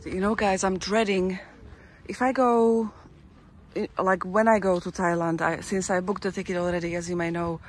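A woman's voice: lowish voice, fairly even intonation, normal speed, a little hiss or hum. A woman chatting casually to her viewers while walking through a town, rambling with restarts, recorded on a handheld camera outdoors. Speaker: travel vlogger. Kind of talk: casual walking-tour vlog commentary.

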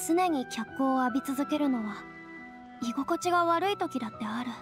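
A woman's voice: high-pitched, lively intonation, normal speed, music in the background. A woman playing a self-assured young lady with an airy, boyish way of speaking in a video game. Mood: relaxed confidence. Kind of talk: scripted game dialogue.

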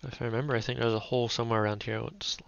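Young man, gravelly, flat delivery, casual gaming commentary, slightly muffled home mic. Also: medium pitch, rapid-fire, slight background noise. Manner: relaxed, chatty, thinking aloud, filler-heavy. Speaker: gaming YouTuber.

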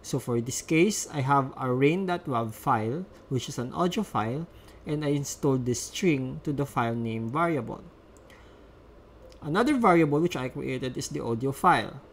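A man's voice: medium pitch, animated, moderate pace, a little hiss or hum. A man presenting a step-by-step instructional screencast as a tutorial narrator.

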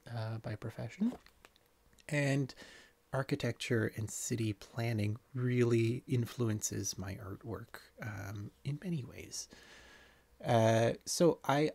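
Man, thirties, gravelly and dry, laid-back rambling game tour. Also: medium pitch, no echo. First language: not French. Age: 30s. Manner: relaxed, rambling, many ums and uhs. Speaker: city-builder game creator. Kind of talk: casual game tour narration.

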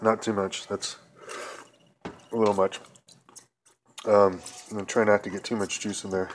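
A middle-aged man with a fairly low voice talks his way through a home cooking session, folksy and rambling. Behind him, pots and pans clatter loudly on the kitchen counter, caught on a handheld phone. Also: level tone, normal speed, slight background noise. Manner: rambling, folksy, many fillers. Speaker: cooking video host. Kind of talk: casual cooking demonstration. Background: clattering pots, pans and cans.

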